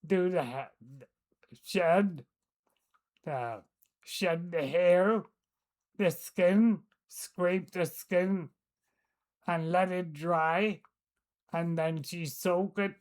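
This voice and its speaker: An older woman, recalling the past in a casual, hesitant way, often pausing to search for words. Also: fairly low pitch, a little mellow. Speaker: storyteller. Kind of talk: casual recollection.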